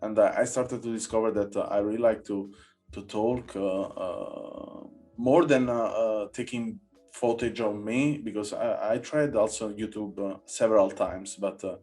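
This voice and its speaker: A man from Italy with an Italian accent, hosting a casual podcast interview and searching for his words in halting, non-native English. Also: on the low side, fairly even intonation, mellow, faint music behind. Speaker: podcast host.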